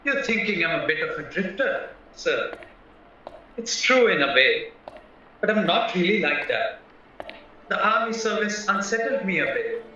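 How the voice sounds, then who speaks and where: A man's voice: sits high, sing-song, unhurried, pauses often, pushed and bright, slight background noise, full character voice. A man acting a nervous, naive young drifter in a radio play, chatty, polite and hesitant. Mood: earnest, defensive. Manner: anxious, polite, hesitant, rambling. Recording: roomy, distant, muffled, music underneath.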